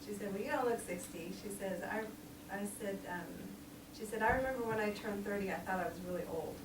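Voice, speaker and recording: A woman's voice: mid-range voice, fairly quick. A woman of sixty giving an informal sermon in a small church, chatty and laughing often, with many ums. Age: 60s. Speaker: lay preacher. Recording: echoey room, distant mic, noisy.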